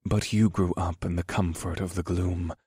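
A man voicing a shaken, foppish half-demon thief in a fantasy audiobook, with hushed, grave, determined delivery. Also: low-pitched, little pitch movement, normal speed, husky, no echo, clean studio sound. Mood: haunted, reproving. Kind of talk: fantasy audiobook character acting.

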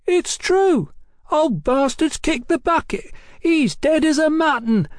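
A nervy young sidekick in a storybook , acted by a male reader. His voice is shrill and shaky, pitched very high and full of excitable swings. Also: takes it slowly, no echo, clean studio sound, heavily acted.

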